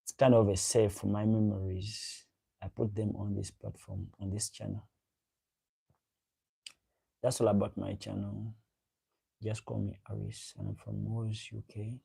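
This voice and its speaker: A man's voice: lowish voice, flat delivery, measured pace, creaky, long pauses, mellow, breathy edge. A man hosting a livestream, chatting casually with viewers and reading out their comments, repeating himself as he goes. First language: a local African language. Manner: rambling, repeats himself, chats directly to viewers. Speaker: livestream host.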